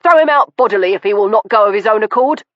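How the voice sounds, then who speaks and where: A woman voicing a furious, blustering older man who shouts and mocks in a heated rush, on a dull, dry recording. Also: medium pitch, expressive, no echo, voice pushed up, close to an everyday voice. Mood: blustering rage. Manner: shouting, mocking, fast and heated.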